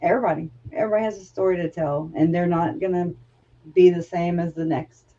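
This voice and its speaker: A chatty, self-mocking woman hosts a casual livestream and laughs easily at her own jokes. Her voice is dark and mellow. It comes through dull, lo-fi stream audio. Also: fairly low pitch, fairly even intonation, a little fast. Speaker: livestream host.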